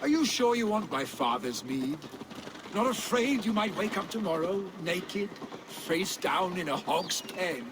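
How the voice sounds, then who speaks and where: An actor playing a carefree, boastful young Viking warrior, the son of a jarl, with a teasing, jovial manner. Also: male voice, high voice, expressive, measured pace. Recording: game cutscene with ambient noise.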